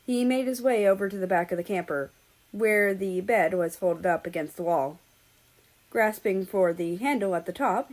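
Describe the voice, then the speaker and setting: A woman's voice: on the low side, darkish tone, rough recording. A woman reading a story aloud as a scripted narration, in the role of an audiobook-style reader.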